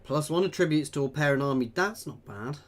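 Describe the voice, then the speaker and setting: A man's voice: on the high side, expressive, a little fast. A man giving casual commentary while playing a strategy game, thinking aloud and chatting with viewers. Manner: chatty, thinking aloud, reads out chat messages. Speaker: gameplay video creator.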